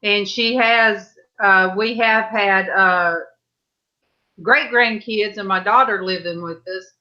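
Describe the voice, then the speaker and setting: A woman's voice: mid-range voice, fairly even intonation, slow, pushed and bright, lo-fi sound. A middle-aged woman, hosting an online church panel in a folksy, chatty way over a video call. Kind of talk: casual chat on a livestream.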